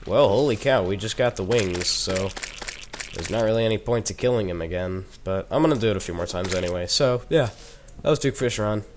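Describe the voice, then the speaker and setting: A man's voice: on the low side, a little fast, a little hiss or hum. A teenage boy with a head cold, chatting casually and rambling with joking asides while he plays and commentates a video game. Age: teens. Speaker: gaming YouTuber.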